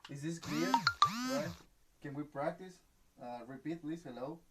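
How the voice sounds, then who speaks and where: A man's voice: on the high side, loud music behind the voice. Patient man teaching an English class. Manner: slow, patient, checks understanding with "right?".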